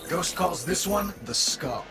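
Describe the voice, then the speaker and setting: A man's voice: high-pitched, animated, a little slow, over music. An actor voicing a brash male AI weapons maker in a video game, casual and cocky, explaining features and calling his user boss. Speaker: onboard AI guide.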